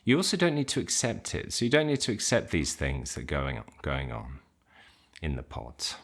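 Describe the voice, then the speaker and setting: A man leading an unscripted guided meditation, giving simple instructions in an informal, gently hesitant way. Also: a bass voice, wildly swooping pitch, normal speed, slightly rough.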